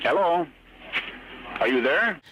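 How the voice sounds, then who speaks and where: A man's voice: fairly high pitch, expressive, slow pace. A man working as an emergency dispatcher, asking brief questions on an archival phone call. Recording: dull, noisy archival phone call recording.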